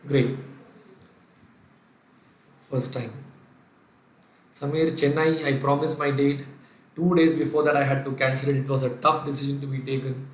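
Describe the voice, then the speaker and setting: A man's voice: medium pitch, little pitch movement, moderate pace, long pauses, echoey room. A man from Kerala with an Indian English accent and Sindhi as his first language, giving a live online revision lecture. He dictates the working step by step and reads out viewers' names, answering them as he goes. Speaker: finance lecturer.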